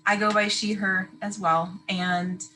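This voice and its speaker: A woman's voice: mid-range voice, little pitch movement, unhurried, sharp tone, slight background noise, poor audio. A woman advisor from California introducing herself in a friendly, chatty way on a webinar video call. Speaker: academic advisor.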